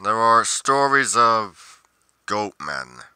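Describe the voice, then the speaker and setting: A man playing a brooding, solitary monster investigator in a roleplay monologue, musing deliberately with pauses mid-sentence. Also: fairly low pitch, very animated, bright, forceful tone, smooth, no room sound, clean studio sound, exaggerated voice. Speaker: roleplay performer.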